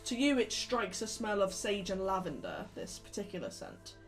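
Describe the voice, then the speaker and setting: A woman game master narrating an improvised tabletop game, describing scenes in a halting, self-correcting way. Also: mid-range voice, huge pitch swings. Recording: music playing behind the voice. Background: music.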